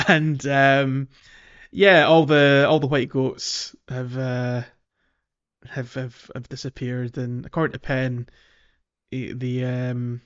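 A man's voice: mid-range voice, little pitch movement, slow pace, pauses often, a bit bright, clear, resonant tone. Man chatting, slightly dull audio. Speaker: podcast co-host. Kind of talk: casual gaming podcast chat.

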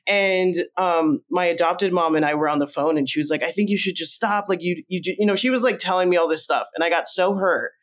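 A man originally from Colombia, a podcast guest, telling his story in a loose, meandering conversational way and jumping up in pitch when he acts out remembered lines. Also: brisk, few pauses. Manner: animated, jumps up in pitch when acting out remembered quotes; loose and meandering, with frequent fillers and restarts.

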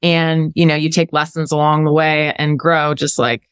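A woman's voice: low voice, flat delivery, normal speed, smooth, dry recording, clean studio sound. A woman hosting a conversational podcast interview, affirming and reflective in how she responds. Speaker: podcast host.

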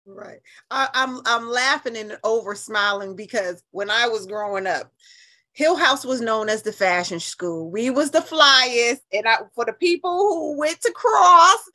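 A woman in her fifties with an American accent, telling stories conversationally in an animated, emphatic, colloquial way as an interview guest. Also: fairly high pitch, measured pace. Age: 50s. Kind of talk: conversational storytelling.

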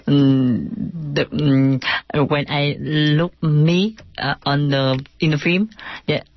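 A woman's voice: low-pitched, steady tone, slow pace, no room sound. Hesitant Vietnamese woman, slightly muffled. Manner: hesitant, broken English. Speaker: interviewed film subject and co-director.

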